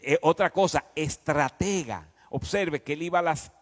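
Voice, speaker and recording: A man's voice: a little high, moderate pace. A man preaching in a conversational, emphatic teaching style, often prompting his listeners with questions. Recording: echoey hall with long reverb.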